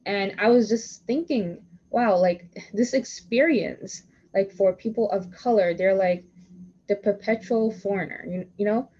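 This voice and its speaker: A young woman hosts a casual podcast in a middle-pitched voice. She rambles in long, loose sentences, leaning on "like" and doubling back on herself. The recording sounds slightly dull and lo-fi. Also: unhurried, warm tone, breathy edge. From Toronto, Canada. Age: young adult. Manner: talks in long runs heavy with "like" and restarts. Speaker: podcast host. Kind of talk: casual conversational interview.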